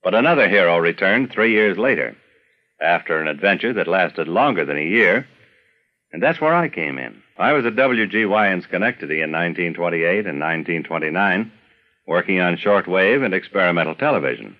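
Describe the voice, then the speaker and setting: A man's voice: low-pitched, lively intonation, fairly quick, dull audio. An older man narrating a scripted documentary with some personal reminiscence, as a storyteller of past times.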